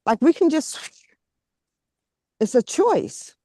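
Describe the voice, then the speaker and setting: A woman with a mellow voice talks slowly in short, earnest phrases, often pausing mid-thought. Her pitch moves in a lively way through a casual, reflective chat. The sound is close and dry. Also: dry recording.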